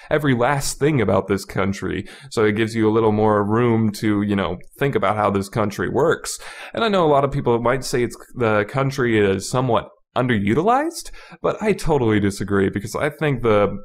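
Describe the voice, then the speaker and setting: A man hosting a review podcast in a casual, opinionated style, rambling emphatically and repeating his words as he argues his points. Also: lowish voice. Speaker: podcast host reviewing a visual novel.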